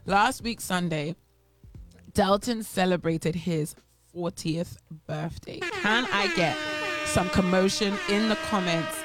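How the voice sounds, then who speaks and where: A woman's voice: on the low side, animated, takes it slowly. A woman with a Bahamian accent co-hosting a show, chatting casually and telling stories with playful drama. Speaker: co-host. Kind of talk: casual chat. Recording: faint music behind.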